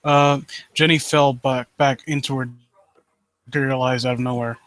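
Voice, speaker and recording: Sarcastic man with a bright, forceful voice. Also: medium pitch, level tone, normal speed, no echo. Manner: sarcastic, mocking, sweary. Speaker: host reading and critiquing horror stories live. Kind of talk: casual riffing commentary with read-aloud passages.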